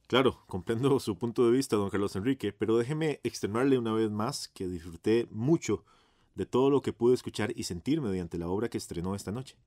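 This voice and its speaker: A man's voice: fairly low pitch, brisk, darkish tone, no echo, studio quality, close to an everyday voice. A man acting in radio theatre as a courteous, enthusiastic 1950s radio journalist, with a Costa Rican accent and a formal, announcer-like manner. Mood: admiring, courteous. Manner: formal, effusively polite, announcer-like.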